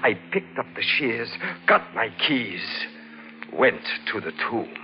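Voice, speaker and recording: An actor playing a brooding, unhinged murderer in 1940s radio style, halting and dramatic, swinging from hushed to frenzied. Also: male voice, medium pitch, animated, heavy background noise. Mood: hushed dread. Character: unhinged male murderer, haunted, brooding and bitter. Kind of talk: 1940s radio acting, first-person suspense narration. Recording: dull vintage radio recording with music behind.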